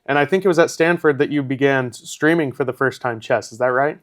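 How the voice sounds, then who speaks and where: A man's voice: medium pitch, a little fast. A man in his thirties hosting a podcast, asking friendly, rambling questions. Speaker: podcast host. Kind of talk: interview questions.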